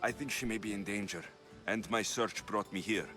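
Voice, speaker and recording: An actor playing a wary, blunt young mercenary in a video game, speaking in a terse, guarded way. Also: male voice, on the low side, moderate pace, over music, lightly acted. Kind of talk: video game dialogue acting.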